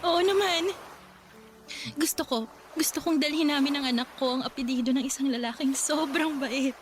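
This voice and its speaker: A woman playing a tender young bride-to-be and mother in a radio drama, with a soft, affectionate and emotional voice. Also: high-pitched, moderate pace, slight background noise, lightly acted. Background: background music.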